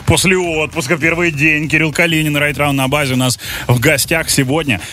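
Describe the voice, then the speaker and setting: A man's voice: a little high, fairly even intonation, brisk, pushed and bright. A man hosting a morning radio show, chatting casually and teasing his guests with jokes. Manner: playful, teasing, jokey. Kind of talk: casual joking radio banter. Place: radio studio. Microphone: studio microphone.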